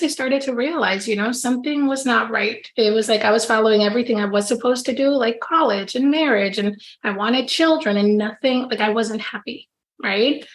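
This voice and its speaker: A woman's voice: on the high side, low-quality recording. A woman from the Dominican Republic speaks as a podcast guest, casual and familiar in tone. She talks about her work openly and in a friendly way. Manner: friendly, familiar, says "girl" often. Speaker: spiritual life coach, guest. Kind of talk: casual interview chat.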